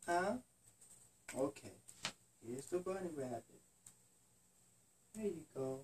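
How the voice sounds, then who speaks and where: A man's voice: fairly high pitch, mellow, slight background noise. Dad storyteller, male, simple repetitive bedtime narration, recorded at a distance from the mic. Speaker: father telling a bedtime story. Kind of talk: improvised bedtime storytelling to a child. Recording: distant microphone.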